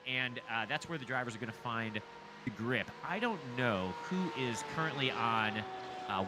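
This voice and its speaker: A man doing casual solo commentary on a sim race, chatty and thinking aloud as he goes. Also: mid-range voice. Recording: loud race car noise behind. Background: sim race car engines.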